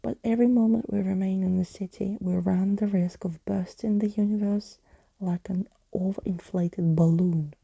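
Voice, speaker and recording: A theatrical skeleton adventurer from a bedtime storybook, played by a woman. He is jaunty and wordy in an old-fashioned way, with a very soft, breathy, whispery delivery, close and dry. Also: medium pitch, fairly even intonation, normal speed, no room sound. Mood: confident, dramatic. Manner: theatrical, wordy, whimsical phrasing.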